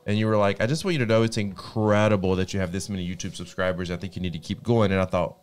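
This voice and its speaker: A man's voice: low-pitched, clean tone. An American man, a native English speaker, talking as a guest in casual chat, earnest and full of restarts. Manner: rapid, earnest, restarts often.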